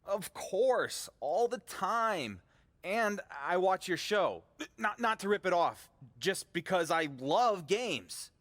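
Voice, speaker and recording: A man's voice: high-pitched, very animated, takes it slowly, a bit bright, in a large hall, heavily acted. An actor playing a defensive, sarcastic wrestling champion in a video game, a man with a wry, casual way of talking. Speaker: wrestling champion and show co-host. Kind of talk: scripted video game cutscene acting.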